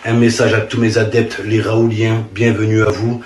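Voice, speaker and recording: Loud pompous guru played by a man, noisy recording. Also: on the low side, little pitch movement, echoey room, recorded from a distance, natural voice. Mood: grandly welcoming. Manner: grand, loud, drawn-out announcing. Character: pompous male guru addressing his followers. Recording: clearly noisy background.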